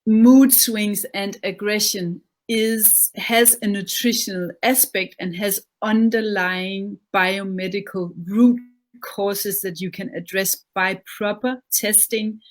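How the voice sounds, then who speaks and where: Lady hosting a live chat, mid pitch, chatty, keeps repeating words. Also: fairly even intonation, takes it slowly. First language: Danish. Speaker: interview host.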